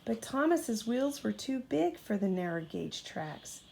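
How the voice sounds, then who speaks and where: Female storyteller for children's bedtime book, unhurried and expressive, motherly role. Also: a little high, vocal fry, a little hiss or hum. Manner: expressive, acts out character dialogue. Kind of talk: children's storybook read aloud.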